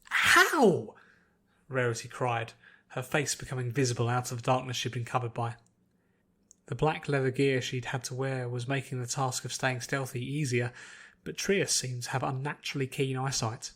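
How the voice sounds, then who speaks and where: A man's voice: medium pitch, little pitch movement, normal speed. A man narrating a scripted fantasy story as an audiobook reader.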